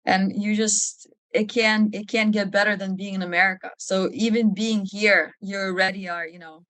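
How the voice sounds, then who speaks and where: A woman's voice: medium pitch, steady tone, moderate pace, a bit bright, no echo. A woman from Lithuania speaking frankly and informally as an interview guest, with a touch of humor. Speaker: podcast guest, army officer. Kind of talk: candid conversational interview.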